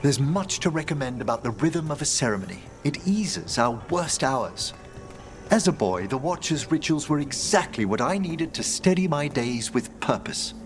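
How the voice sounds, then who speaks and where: An actor playing a learned, courtly necromancer mage in a video game, formal and musing, with precise theatrical diction. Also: male voice, a little high, big pitch swings, normal speed, soft background music. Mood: reverent.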